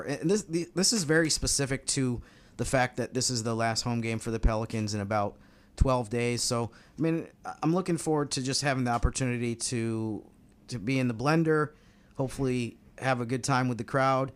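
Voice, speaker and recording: An American man talks through basketball as a podcast co-host. His voice sits at a middling pitch, and his style is casual and conversational, like an analyst chatting with colleagues. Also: brisk.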